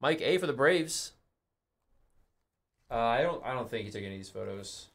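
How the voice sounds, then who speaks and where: A man's voice: mid-range voice, normal speed, a little hiss or hum. A young man hosting a live card break in a studio, calling out the cards he pulls in a casual, chatty way. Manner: casual, calls out cards, excited on big hits.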